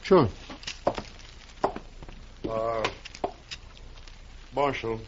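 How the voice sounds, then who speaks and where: A man's voice: mid-range voice, very slow. An actor playing an eager, deferential railroad man in an old-time radio western, somewhat hesitant in manner. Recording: muffled, noisy old radio recording.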